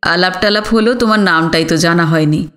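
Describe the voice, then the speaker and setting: A woman voicing a genial, curious city gentleman and father in an audiobook, chatty and inquisitive. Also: fairly low pitch, fairly quick, voice pushed down, close to an everyday voice. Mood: friendly. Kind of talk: audiobook character dialogue.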